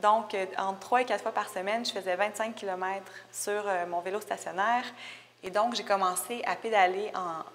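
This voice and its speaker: A woman's voice: mid-range voice, a bit bright. A young woman from Rimouski with a Québécois accent, a visual artist explaining her work in a thoughtful, searching way. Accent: Québécois French. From Rimouski, Quebec. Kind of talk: casual interview about her work. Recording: long reverb, faint background noise.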